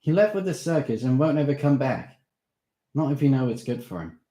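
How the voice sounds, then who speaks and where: A man's voice: medium pitch, sing-song, brisk, mellow, voice pushed up, close to an everyday voice. A man voicing a bold, defiant young schoolboy who talks in an earnest, self-assured way. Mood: calm. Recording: roomy echo.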